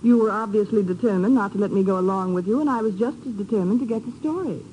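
A woman's voice: mid-range voice, quick pace, dark tone, natural voice, poor audio. A woman playing a cool, teasing, self-assured reporter in a 1950s radio drama. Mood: sly, teasing. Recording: old radio broadcast, faint noise.

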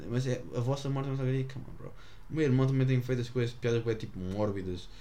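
A man's voice: mid-range voice, steady tone, fast talker, dark tone. A teenage boy from the Azores, rambling through stories to camera with lots of fillers and self-mockery. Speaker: vlogger. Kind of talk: casual storytelling to camera.

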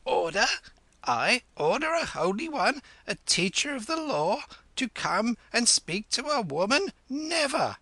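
A man voicing a proud, sharp-tongued old widowed queen who scolds and cackles. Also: very high-pitched, big pitch swings, unhurried, no echo, voice pushed up, full character voice. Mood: imperious.

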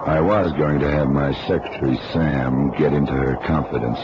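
An actor playing a calm, shrewd detective in a radio drama, composed and dry in manner. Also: male voice, very deep voice, moderate pace. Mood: cool, defiant. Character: calm, shrewd male blind detective, unflappable. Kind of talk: 1970s radio drama acting. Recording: noisy, dull old radio recording.

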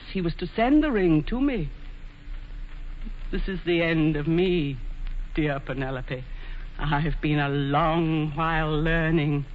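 An actress playing an ageing, imperious Queen of England, proud and bitter, with regal, deliberate delivery in a 1940s radio drama. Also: low-pitched. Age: older. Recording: dull noisy old radio recording, hall reverb.

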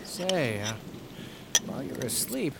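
A man's voice: medium pitch, sing-song, unhurried, vocal fry, very noisy. An actor in a radio drama playing a chatty, eager scholarly man with a hesitant, fussy way of talking. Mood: eager, excited.

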